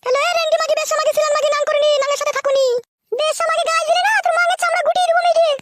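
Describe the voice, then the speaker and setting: Cartoon wife role: extremely high, very fast, jealous, abusive, pitched-up effect. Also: flat delivery, a bit bright, slight background noise. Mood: furious, taunting. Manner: ranting, insulting, rapid-fire quarrelling. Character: shrill, foul-mouthed jealous village wife in cartoon. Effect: pitched-up, sped-up voice. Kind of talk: comedy cartoon dubbing with altered high-pitched voice.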